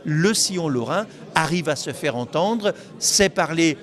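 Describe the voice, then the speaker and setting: A man giving explanatory interview answers as a local official, often halting and repeating words mid-phrase. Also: a little high, animated.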